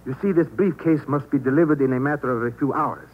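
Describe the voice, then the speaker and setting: An actor playing a polite, menacing saboteur in 1950s radio thriller style, speaking formally and precisely with cool threat. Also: male voice, mid-range voice, quick pace, natural voice. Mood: smooth menace. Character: polite, menacing male saboteur with a briefcase bomb. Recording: dull, noisy old radio recording.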